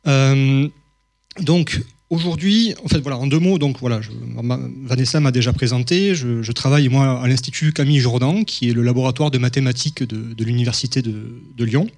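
A man's voice: mid-range voice, darkish tone. A man from southern France giving a public mathematics lecture, hesitant, with many fillers and restarts.

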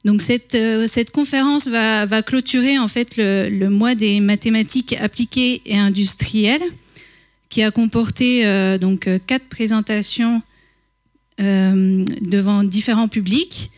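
A woman's voice: mid-range voice, fairly even intonation, unhurried. A woman organizer introducing a public lecture, hesitant, with many fillers and restarts. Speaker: conference organizer introducing speaker.

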